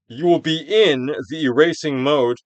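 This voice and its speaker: A man giving a dramatic, deliberate reading of a self-help book as a guided exercise, with pauses and big swells. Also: on the high side, no echo. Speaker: audiobook reader and actor.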